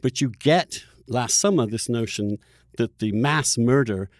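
A man's voice: medium pitch, unhurried, no echo. Emphatic man in close, dry podcast talk. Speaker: podcast host. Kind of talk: opinionated interview conversation.

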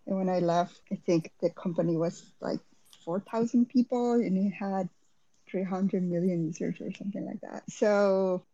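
A woman from Rica, a guest talking frankly and casually in a relaxed, self-deprecating way. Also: mid-range voice, moderate pace, dry recording, highs cut off. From Costa Rica. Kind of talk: casual conversation on a live audio chat.

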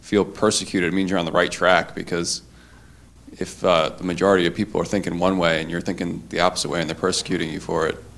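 Low-voiced man, reflective and hesitant, working through an idea. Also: flat delivery, fast, gravelly, a bit bright. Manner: thoughtful, hedging fillers. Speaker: church group member. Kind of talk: reflective casual talk.

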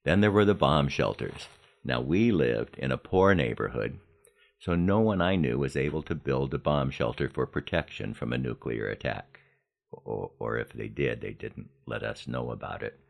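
An American man in his seventies narrating a scripted personal podcast in a measured storytelling manner with deliberate emphasis. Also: extremely low pitch, vocal fry, highs cut off. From Los Angeles, United States. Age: 70s. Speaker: podcast host.

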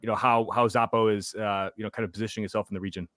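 A man's voice: on the low side, steady tone, racing, fairly bright tone, no room sound. A man hosting a podcast, asking questions in a chatty way with lots of fillers. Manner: chatty, lots of "like" and "you know". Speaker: podcast host. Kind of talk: casual podcast interviewing.

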